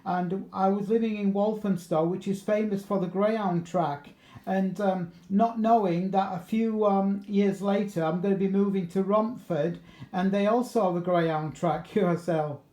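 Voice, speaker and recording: A man's voice: sits high, steady tone, moderate pace, dark tone. A middle-aged man working as an amateur radio operator, chatting casually and rambling along with bits of radio jargon. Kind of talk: casual radio contact chat. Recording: roomy, echoey room sound.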